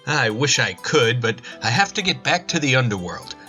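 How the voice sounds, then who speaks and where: A man's voice: mid-range voice, sing-song, normal speed, pushed and bright, faint music behind. A man playing a grave, businesslike god of the underworld in an audio drama, with an earnest, disapproving manner. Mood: stern, impatient.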